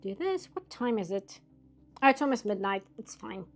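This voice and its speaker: Chatty woman streamer with soft music. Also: fairly high pitch, very animated, moderate pace. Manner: chatty, laughs often, rambling asides. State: voice getting hoarse, late at night. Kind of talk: casual chat while drawing.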